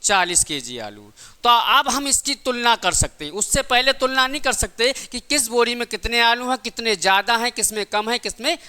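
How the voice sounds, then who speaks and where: A man's voice: extremely high pitch, lively intonation, normal speed, hardly any pauses, sharp tone, clear, resonant tone. A man teaching a class, asking questions and answering them himself, repeating key points in simple everyday examples. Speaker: physics teacher for exam coaching.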